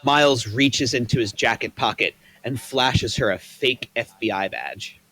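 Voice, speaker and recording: Brief: male narrator of a script reading, middle pitch, plain and steady. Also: unhurried, poor audio. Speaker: narrator reading stage directions. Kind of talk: table read of a screenplay.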